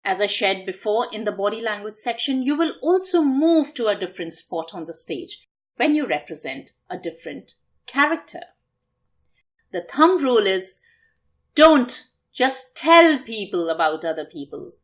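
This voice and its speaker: Expressive, high-pitched woman coaching. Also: normal speed. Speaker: public speaking coach. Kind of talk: instructional talk to viewers.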